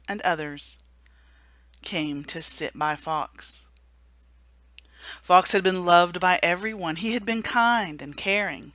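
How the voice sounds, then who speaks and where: A woman reading a children's storybook aloud for a remembrance service, gentle and hushed at the sad moments. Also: on the low side, no room sound. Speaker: story reader.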